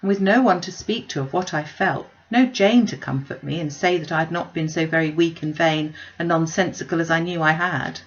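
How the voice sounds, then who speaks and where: A woman's voice: deep voice, brisk, few pauses, muffled recording. A woman voicing a witty, self-possessed young lady in a classic novel reading, wry, articulate and emphatic. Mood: regretful.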